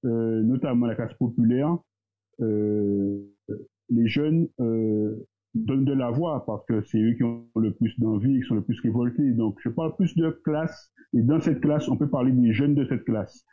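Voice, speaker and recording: A man, talking as a guest in a casual discussion, thoughtful and hesitant, pausing often. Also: medium pitch. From Guadeloupe. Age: 30s. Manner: thoughtful, hesitant, with many pauses and fillers. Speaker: guest, entrepreneur. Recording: tinny phone-like line.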